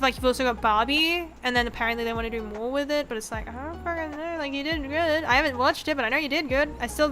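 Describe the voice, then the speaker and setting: A woman's voice: sits high, bright, forceful tone. A woman in her twenties chatting casually as a livestreamer, rambling and swearing freely, acting out little quotes as she goes. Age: 20s. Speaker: streamer, VTuber host. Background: background music.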